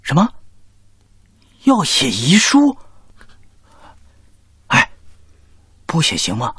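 Earnest, husky young doctor. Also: male voice, a little high, huge pitch swings, very slow, sharp tone, no echo, heavily acted. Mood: hushed, uneasy. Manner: polite, eager, playfully teasing. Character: earnest, joking younger male doctor, devoted student. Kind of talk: Chinese radio drama acting.

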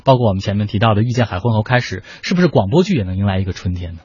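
Male panel host, very raspy and fairly low, formal and measured in his questioning. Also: lively intonation, a little mellow, dry recording. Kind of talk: radio panel discussion hosting. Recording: dull, muffled audio.

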